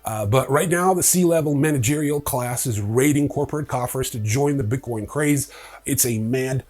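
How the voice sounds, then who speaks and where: A man hosting a news show with opinionated commentary, informal and sardonic in manner, with a husky voice. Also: mid-range voice, normal speed, darkish tone. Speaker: host of a crypto news show.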